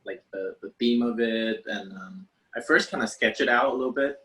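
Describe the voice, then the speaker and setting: A man's voice: mid-range voice, normal speed, sharp tone. A man from Vietnam, a special effects artist hesitantly answering interview questions and searching for words.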